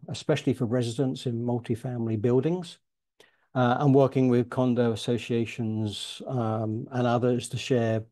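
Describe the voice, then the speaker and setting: Casting: man with a mellow, dark tone, halting moderator introducing a speaker on a video call. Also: mid-range voice. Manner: halting, many fillers and restarts. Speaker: webinar moderator.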